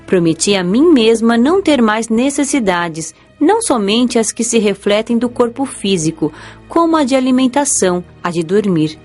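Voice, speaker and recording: An actress voices an earnest young female spirit with a Brazilian accent, curious and expressive as she tells her story. Her pitch moves in lively waves over clear background music, in the manner of a dramatized audiobook. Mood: moved, resolute.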